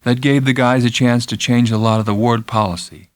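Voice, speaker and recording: A man reading a literary first-person story as an audiobook narrator, dramatic, intense and deliberate, with hushed drops and swells. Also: mid-range voice, fairly even intonation, no echo.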